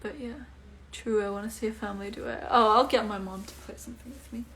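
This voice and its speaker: A woman's voice: fairly high pitch, steady tone, fast, fairly bright tone, slight background noise. A young woman, a singer chatting casually at home during a phone livestream, answering comments in a breezy way with lots of fillers. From Iceland. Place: at home, indoors.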